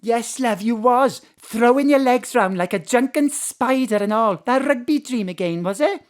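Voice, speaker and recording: A woman's voice: fairly high pitch, normal speed, natural voice. An actress playing a chatty landlady who teases and dotes on the young detective, speaking. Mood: fond. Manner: gossipy, teasing, warm endearments. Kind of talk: live radio drama acting. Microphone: home studio mic.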